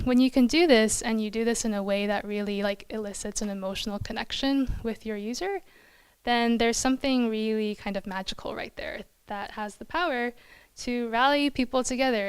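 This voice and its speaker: Young woman presenting, very clear smooth voice. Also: on the high side, no room sound. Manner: conversational, peppered with "like" and "kind of". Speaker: product designer giving a conference talk. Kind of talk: informal presentation to an audience. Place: small indoor event room. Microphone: handheld microphone.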